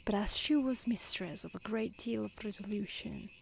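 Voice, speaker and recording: A woman reading a fairy tale aloud in a soft, breathy ASMR style that often slips toward a whisper, gentle and careful. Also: mid-range voice, normal speed. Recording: faint background noise with chirping insects. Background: outdoor nature ambience, crickets.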